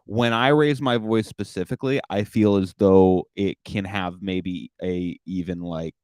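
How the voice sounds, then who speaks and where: A man's voice: low voice, steady tone, unhurried, darkish tone, clean tone, no echo, studio quality. A young man hosting a comedy podcast, rambling casually with lots of filler words and self-deprecating asides. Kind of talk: casual chat.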